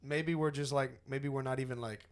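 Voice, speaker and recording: A man's voice: mid-range voice, steady tone, a little fast. A man of about thirty with an American accent, hosting a casual podcast with teasing, jokey banter. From Florida, United States. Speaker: podcast host.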